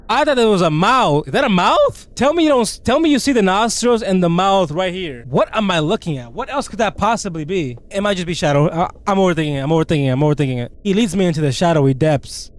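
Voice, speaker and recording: Higher-pitched man doing expressive gaming commentary. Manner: expressive, slangy, incredulous reactions. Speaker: gaming video creator. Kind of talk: let's play commentary and reading game text aloud.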